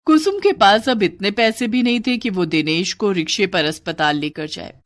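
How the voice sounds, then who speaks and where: A woman narrates a scripted moral tale. Her voice sits at a medium pitch, and the recording is dry and close, free of room sound. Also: expressive, moderate pace, dry recording.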